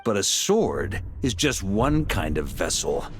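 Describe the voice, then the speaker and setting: Bold game knight: assured, defiant, wide-swinging pitch, measured pace. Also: male voice, big pitch swings, full character voice. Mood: wry, assured. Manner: theatrical, assured. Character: bold, defiant, seasoned male knight, confident hero. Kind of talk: scripted video game acting. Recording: voice over game music.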